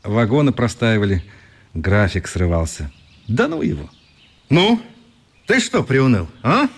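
A man's voice: on the low side, wildly swooping pitch, a little slow, husky, exaggerated voice. An actor playing a politely defiant, ironic male newspaper correspondent in a radio play, speaking in courteous, articulate phrases. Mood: reassuring. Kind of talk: radio drama acting.

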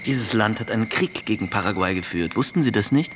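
Man acting blunt, cynical, low-voiced army captain. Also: lively intonation, fairly quick, noisy background, close to an everyday voice. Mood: smug, matter-of-fact. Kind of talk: radio drama acting.

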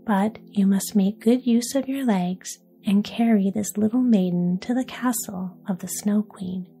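A woman voicing a bold, wild young robber girl in a soft whisper. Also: mid-range voice, a little slow, gravelly, slightly rough, soft background music. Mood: bossy.

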